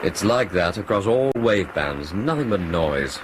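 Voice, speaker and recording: An actor playing a practical, careful airliner crew officer in a radio drama, speaking in a matter-of-fact, technical way. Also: male voice, low-pitched, very animated, a little slow, noisy background. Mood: baffled.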